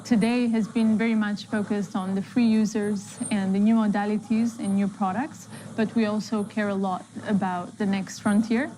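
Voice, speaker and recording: A woman's voice: mid-range voice, level tone, normal speed, few pauses, mellow, a little hiss or hum, rough recording. A woman presenting a live product demo in a conversational style, as its host. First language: Italian speaker. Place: live staged demo before an online audience.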